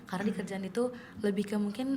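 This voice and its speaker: Fast-talking young woman interviewer. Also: medium pitch, fairly even intonation, background noise. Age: young adult. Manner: fast, agreeable, summarizing, giggly. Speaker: podcast host, student. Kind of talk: casual interview.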